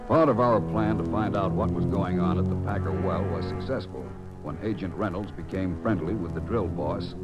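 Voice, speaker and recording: A man with an American accent narrating a vintage radio crime drama as a formal, measured official report. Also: deep voice, close to an everyday voice. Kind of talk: 1950s radio documentary-style scripted narration. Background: music.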